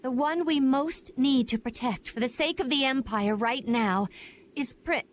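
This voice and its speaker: A woman's voice: sits high, a little slow, slight background noise. An actress playing a proud, loyal young female knight who speaks in a formal, resentful, urgent way. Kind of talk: video game voice acting.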